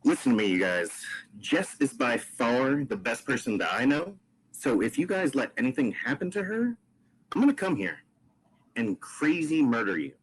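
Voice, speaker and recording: A man's voice: mid-range voice, big pitch swings, moderate pace, breathy edge, low-quality recording. A man reading a bossy, protective best friend. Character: confident young woman model, protective best friend. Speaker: podcast host reading a script.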